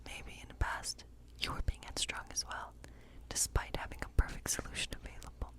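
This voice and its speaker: A gentle cottage witch, played by a woman in an ASMR fantasy role play. She speaks entirely in a soft, breathy whisper. Her delivery is unhurried and musing, as if quietly reading tarot at night. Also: lightly acted. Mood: gentle, playful.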